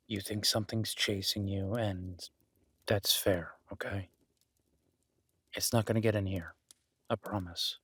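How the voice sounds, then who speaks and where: A man acts out a gruff, skeptical loner in a remote cabin for an intimate audio drama. His voice is low and rough-edged, recorded close and very dry. He is blunt and sweary, yet grudgingly caring and reassuring. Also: fairly bright tone, dry recording, full character voice. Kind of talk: immersive audio roleplay, intimate naturalistic acting.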